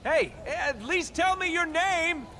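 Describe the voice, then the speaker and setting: An actor playing a young, roguish, wisecracking adventurer in a video game, with sarcastic, flippant banter. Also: male voice, very high voice, expressive, slow pace, bright, forceful tone, a little hiss or hum, full character voice. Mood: wry, teasing.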